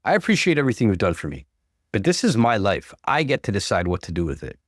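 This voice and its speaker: A man's voice: on the low side, sing-song, fast, no echo, studio quality. A man playing a frustrated, determined young student son in a story, earnest and firm. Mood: defiant.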